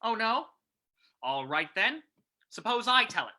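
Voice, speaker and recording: A woman playing a gruff, sarcastic male police commissioner with a clipped, hard-boiled manner in an old-time radio play reading. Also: on the high side, very animated, measured pace, bright, forceful tone, a little hiss or hum, heavily acted. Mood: gruff, accusing.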